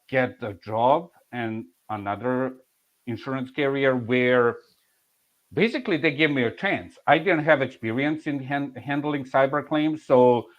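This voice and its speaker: Serbian-accented man, muffled lo-fi sound. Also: mid-range voice. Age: 40s. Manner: halting, with many restarts and soft laughs. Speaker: insurance claims manager. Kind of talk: casual interview answers. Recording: muffled, lo-fi audio.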